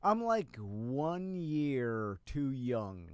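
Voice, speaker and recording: A man's voice: medium pitch, big pitch swings, very slow, a loud crowd. An American man in his forties chatting casually as a co-host of a talk show. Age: 40s. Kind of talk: casual chat.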